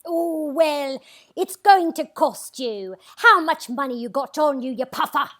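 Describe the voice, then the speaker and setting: A woman's voice: high-pitched, lively intonation, a little slow, fairly bright tone. A woman voicing a brash, menacing British bully in a comic table read, with taunting, squawky exclamations.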